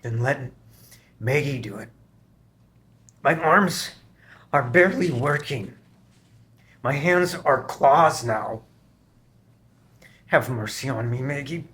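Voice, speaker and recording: An actor playing a weak, dying man with a raspy, scratchy voice who struggles to get his words out at a table read. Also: medium pitch, lively intonation, slow pace, pauses often, fairly bright tone. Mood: pleading.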